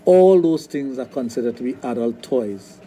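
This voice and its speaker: A middle-aged man with a Trinidadian accent, a sexologist talking on a radio show, blunt and quick to restart his sentences. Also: medium pitch, animated, mellow, low-quality recording. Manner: repeats words, restarts often, blunt. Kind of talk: casual radio talk. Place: radio studio.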